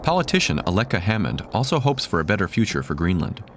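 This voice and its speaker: A man reading scripted documentary narration in a measured, even tone. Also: sits low, background noise.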